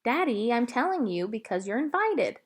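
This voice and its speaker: A woman voicing an earnest, wistful and eager young girl in a children's audiobook, expressive and pleading. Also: a little high, moderate pace, pitched above the performer's own voice. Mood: polite, excited.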